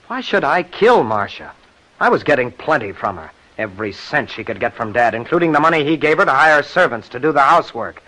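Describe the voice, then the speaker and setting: An actor playing a defensive, sullen murder suspect in 1950s radio drama style. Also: male voice, medium pitch, sing-song, a little fast, bright, forceful tone, poor audio. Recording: old-time radio broadcast recording.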